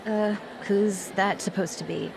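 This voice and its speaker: A woman's voice: medium pitch, fairly even intonation, a little slow, creaky, a crowd in the background. A woman playing a friendly, sympathetic worker in a video game cutscene. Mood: concerned.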